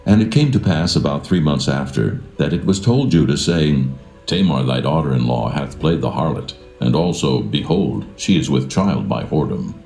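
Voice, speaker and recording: Casting: male Bible narrator, bottomless bass, mellow and dark, formal reverent reading, soft music underneath. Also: lively intonation, moderate pace, gravelly. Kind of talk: solemn scripted scripture narration. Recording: roomy echo with music behind.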